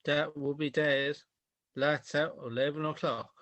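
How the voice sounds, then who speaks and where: A man's voice: a little high, fairly even intonation, unhurried, a bit bright, no room sound, highs cut off. Man playing a reproachful father, lightish voice. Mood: resigned. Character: reproachful, sentimental middle-aged father and husband. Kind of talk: amateur radio drama acting.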